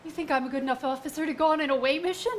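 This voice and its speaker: Eager junior officer, acted by a woman, very high-pitched, earnest musical theater delivery, live stage. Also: very fast, a little hiss or hum, natural voice. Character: eager, earnest young female starship lieutenant. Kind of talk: musical theater parody acting.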